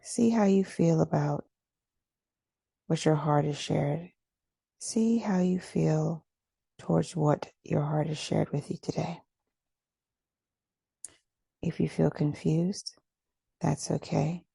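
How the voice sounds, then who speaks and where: A woman leading a guided meditation as a teacher, speaking slowly, gently and deliberately in a breathy, soothing voice with long pauses. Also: low voice, steady tone, no echo.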